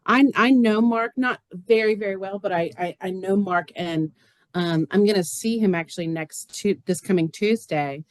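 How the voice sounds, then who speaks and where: A woman's voice: medium pitch, normal speed, no room sound. A woman talking casually as a member of a community group.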